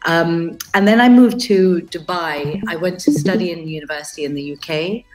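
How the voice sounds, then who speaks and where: A woman's voice: on the low side, moderate pace, over music, poor audio. A middle-aged woman from Kenya with a British accent, chatting casually about her life as a guest artist over a video call, with many fillers and restarts.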